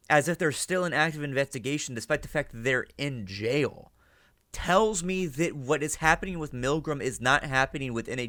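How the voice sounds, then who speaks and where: A man's voice: mid-range voice, bright, forceful tone, no room sound, studio quality. A man giving casual reaction commentary, thinking aloud and often checking in with rhetorical questions. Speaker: reaction and analysis host.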